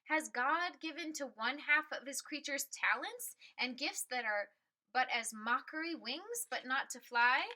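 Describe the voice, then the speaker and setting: A woman's voice: high voice, lively intonation, a little slow, bright, forceful tone, full character voice. A woman reading a storybook and voicing a bold, determined woman crusader with a firm, declarative, oratorical manner. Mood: earnest.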